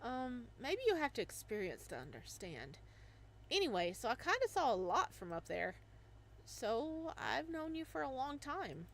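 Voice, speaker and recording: A woman's voice: high voice, very animated, measured pace, full character voice. A woman voicing a cheerful, goofy young male god of winter who stammers and rambles bashfully. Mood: sheepish.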